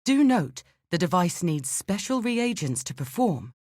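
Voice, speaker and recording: An actress playing an earnest, thoughtful scholar in exile in a video game, speaking in a measured, articulate and grave way. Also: female voice, on the low side, big pitch swings, darkish tone, no echo, studio quality. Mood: grateful, earnest. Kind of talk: scripted dramatic game dialogue.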